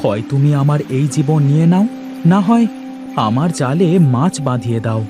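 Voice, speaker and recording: A man's voice: fairly high pitch, expressive, normal speed, natural voice. A man playing a poor, weary fisherman and tender father in a fairy-tale cartoon, speaking plaintively and expressively. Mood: despairing. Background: music.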